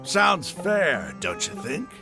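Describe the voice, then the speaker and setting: Gravelly, theatrical desert villain. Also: male voice, high voice, big pitch swings, slow pace, full character voice. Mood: smug, menacing. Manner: theatrical, bargaining, menacing. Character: shrewd, fanatical desert gang leader, hostile man. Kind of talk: video game character acting. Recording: music behind the voice.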